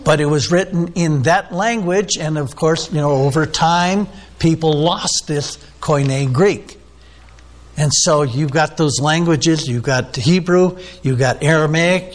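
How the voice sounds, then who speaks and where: A man preaching a sermon, teaching off the cuff with many "uh"s and questions to the listeners. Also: fairly high pitch. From United States. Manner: unscripted teaching with frequent fillers, asks the listeners questions. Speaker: pastor.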